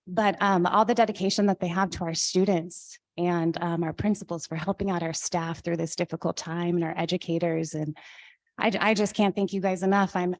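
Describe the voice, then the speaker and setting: A woman's voice: fairly low pitch, darkish tone, no room sound. A woman board member, losing her voice, giving earnest thanks with many fillers at a meeting. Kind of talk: formal meeting remarks.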